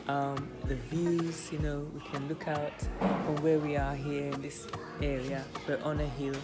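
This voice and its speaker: A middle-aged woman from Jamaica, a dance teacher talking in a relaxed, chatty way and laughing often, recorded outdoors. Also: low voice. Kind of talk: casual interview talk. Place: outdoors on a hill. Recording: music playing underneath. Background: music.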